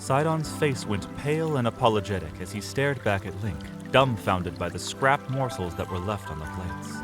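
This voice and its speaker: A man's voice: lowish voice, animated, normal speed, over music. A man narrating an audio drama in a dramatic, scripted storytelling style.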